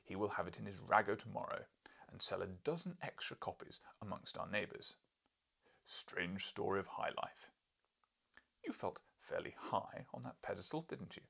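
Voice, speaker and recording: A man's voice: sits low, animated, moderate pace, gravelly, bright, forceful tone, husky, exaggerated voice. A man voicing a huge, domineering professor in an audiobook, pompous, mocking and emphatic. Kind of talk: audiobook character voice.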